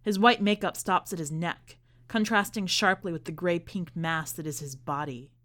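A woman podcast host narrating and commenting on literary fiction in a measured, expressive manner. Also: on the low side. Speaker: podcast host and story reader.

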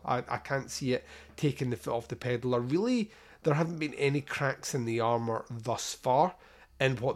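Male podcast host, mid-range voice, casual and emphatic solo talk with a conversational ramble. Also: mid-range voice. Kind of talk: casual solo talk introducing a film countdown.

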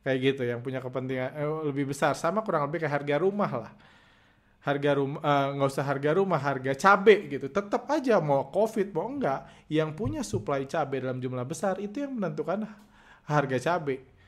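A man with a hoarse voice from a heavy cold, hosting a stock trading podcast in a halting, casual way with many filler sounds. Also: on the high side, expressive. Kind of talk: casual market commentary.

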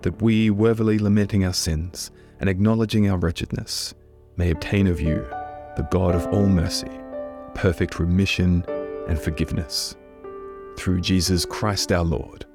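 A deep-voiced man, hoarse with a head cold, gravelly and low as he leads liturgical prayer in an earnest, unhurried way. Soft instrumental music sits faintly behind him. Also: steady tone. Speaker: church minister hosting a prayer podcast. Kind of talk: leading liturgical prayer and spoken reflection.

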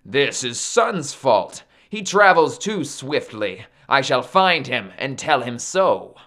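A man's voice: medium pitch, very animated, slow pace, a bit bright. A man voicing a bold, boastful half-god hero in a storybook tale, with firm, declaiming delivery. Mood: indignant, defiant. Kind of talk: storybook character acting.

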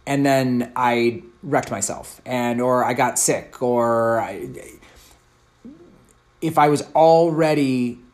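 A man's voice: medium pitch, slow. Earnest man in his thirties, thoughtful. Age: 30s. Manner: thoughtful, earnest, candid, with frequent restarts. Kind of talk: conversational answer to a question.

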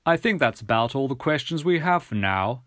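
A man voicing a formal, authoritative English gentleman, an official, in a measured manner for an audiobook story. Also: medium pitch, lively intonation, dry recording, clean studio sound, close to an everyday voice. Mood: businesslike.

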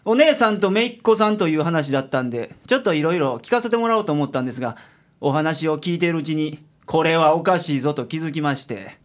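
A man's voice: fairly high pitch, animated, fast, close to an everyday voice. A man playing a shabby, shrewd private detective with a Kansai accent and a dry, teasing manner in a radio comedy. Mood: dry, exasperated. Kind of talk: radio comedy drama acting.

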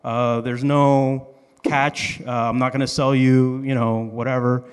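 A man's voice: mid-range voice, little pitch movement, measured pace, clean tone. Man telling stories in a reverberant hall. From Dominican Republic and Miami, USA. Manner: informal, joking, swearing. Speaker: storyteller. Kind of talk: personal storytelling on stage.

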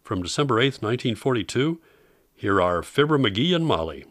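A man hosting a vintage radio showcase with wry, measured scripted introductions. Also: mid-range voice, big pitch swings, fairly bright tone, dry recording, studio quality. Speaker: host of old-time radio showcase.

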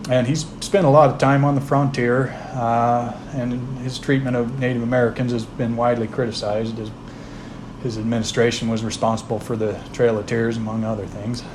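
A man hosting a podcast, talking through history in a plain, unhurried way with frequent "uh" fillers and restarts. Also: mid-range voice, flat delivery, noisy background. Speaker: podcast host. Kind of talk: informal history talk.

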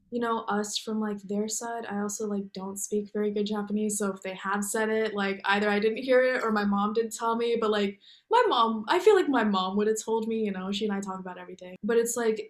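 A young American woman talking in a casual interview, rambling and filling her speech with "like" and "you know.". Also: medium pitch. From United States. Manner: rambling, heavy use of "like". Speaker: guest.

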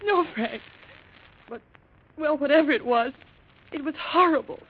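High-pitched, distraught wife. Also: female voice. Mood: grieving. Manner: halting, melodramatic. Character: distraught, frightened wife of a rich mine owner. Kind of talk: 1940s radio drama acting. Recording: dull, lo-fi old radio recording.

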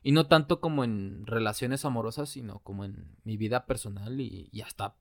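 A young man from Mexico with a Mexican Spanish accent, talking in a casual chat, slangy and quick to laugh, then slow and thoughtful. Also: fairly low pitch, vocal fry, dry recording, clean studio sound. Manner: slangy, laughs often, turns reflective and slow when talking about his breakup.

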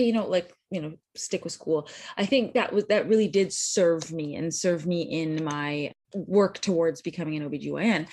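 Woman, thirties, low-pitched, husky, chatty, self-correcting. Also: a little mellow. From Canada. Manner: chatty, many likes and restarts. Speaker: podcast guest, physician. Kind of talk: casual interview chat.

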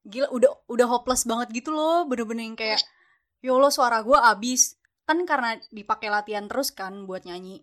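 A woman's voice: on the high side, a little fast, fairly bright tone. A young woman, a student chatting casually about her story and padding it with slangy fillers. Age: young adult. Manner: chatty, slangy Jakarta speech with many fillers. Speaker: university student.

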